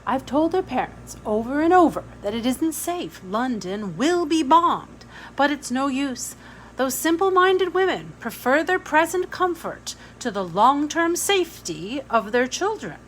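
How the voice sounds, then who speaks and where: A woman reading a storybook, voicing a bossy, iron-willed organizing lady with a crisp, brisk, authoritative manner. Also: high-pitched, sing-song, pitched above the performer's own voice, low-quality recording. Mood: brisk, exasperated. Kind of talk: storybook read-aloud.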